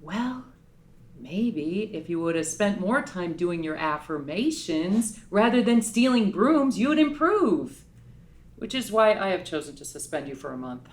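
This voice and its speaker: A woman's voice: on the low side, measured pace. A woman voicing a stern head witch at a magic academy in a casual table read. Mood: scolding. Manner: lively, scolding and theatrical.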